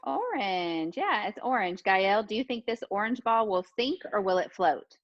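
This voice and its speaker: A woman's voice: medium pitch, expressive, moderate pace, no room sound, lo-fi sound. A woman teaching pre-kindergarten children interactively, asking questions and repeating their answers in an encouraging way. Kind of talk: interactive lesson for young children.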